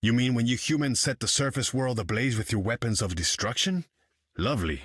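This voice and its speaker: A man's voice: mid-range voice, animated, a little fast, fairly bright tone, husky, dry recording, studio quality. An actor playing a proud, imperious undersea king in a video game, speaking in a pompous, formal way. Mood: proud, aloof. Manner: pompous, grandiose, formal speech. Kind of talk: video game character dialogue.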